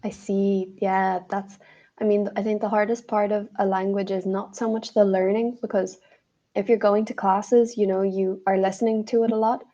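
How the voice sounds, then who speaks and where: A woman's voice: medium pitch, level tone, mellow, highs cut off. A young woman with an Irish accent, chatting as an online English tutor with friendly, encouraging replies. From Ireland. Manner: friendly, encouraging, quick affirmations. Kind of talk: casual video-call conversation lesson.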